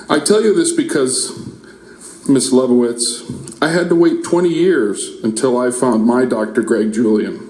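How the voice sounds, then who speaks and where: A man in his forties, a parent giving a heartfelt prepared speech at a public meeting, now and then halting and restarting. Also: mid-range voice, a little mellow. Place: large meeting room. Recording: echoey meeting hall.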